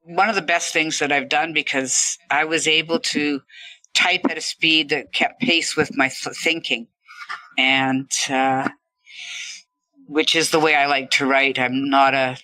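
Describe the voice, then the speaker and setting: A woman's voice: a bass voice, bright, forceful tone, no room sound, rough recording. A woman talking reflectively as a podcast guest, earnest and appreciative. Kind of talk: reflective interview talk.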